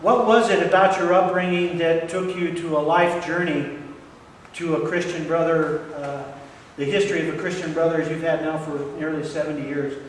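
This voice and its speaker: A man hosting an onstage interview, speaking earnestly and haltingly with many fillers. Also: a little high, fairly even intonation, a little hiss or hum. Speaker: interviewer, event host. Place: large hall. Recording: echoey hall from far off.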